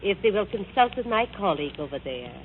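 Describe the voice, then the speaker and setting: A man's voice: sits high, sing-song, fast talker. A man playing a prim, formal, old-fashioned Quaker librarian in a 1940s radio drama. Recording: tinny, noisy old radio recording.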